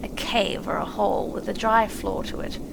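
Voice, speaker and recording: Storybook casting: sensible, good-hearted gentleman rat done by a woman, creaky voice over a noisy background. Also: mid-range voice, moderate pace. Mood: urgent, practical. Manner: kindly, practical. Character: practical, kindly male water rat, brisk friend. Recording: clearly noisy, sound effects behind.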